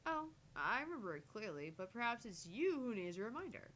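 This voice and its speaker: A woman's voice: fairly high pitch, big pitch swings, fairly quick, fairly bright tone, voice pushed up. Woman voicing a feisty young woman, noisy recording. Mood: taunting. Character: feisty, defiant young woman trading quips. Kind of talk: voiced reading of visual novel dialogue.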